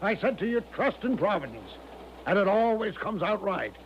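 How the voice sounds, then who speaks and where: An eccentric, smooth-talking older con man from a vintage radio play. His high, very animated voice coaxes with folksy, grand confidence. The recording is dull and slightly noisy. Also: normal speed. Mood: flattering, jubilant. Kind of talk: 1970s radio drama acting. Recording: dull lo-fi 1970s radio, faint noise.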